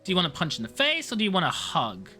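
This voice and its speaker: Lively, bright-voiced woman streaming a game. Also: lowish voice, fast talker, soft background music. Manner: playful, jokey, chatty asides. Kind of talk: casual live-stream chat and commentary.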